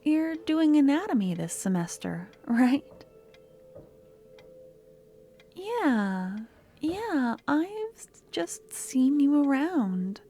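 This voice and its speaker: A woman playing an eager, clingy young student with a secret obsession in an ASMR roleplay, speaking softly and often slipping into breathy whispers. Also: a little high. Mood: excited, smitten. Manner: soft-spoken, often dropping to breathy whispers. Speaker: lab guide teaching embalming.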